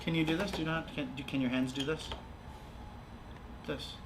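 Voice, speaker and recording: A man's voice: on the high side, steady tone, moderate pace. A man hosting a casual crafting livestream, with a playful, informal manner. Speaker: livestream host. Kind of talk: casual chat while doing crafts. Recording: some background noise.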